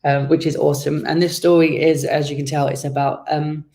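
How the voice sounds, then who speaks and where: A man giving conversational interview answers as a guest author, explaining things in a measured way. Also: fairly high pitch, flat delivery, vocal fry, darkish tone.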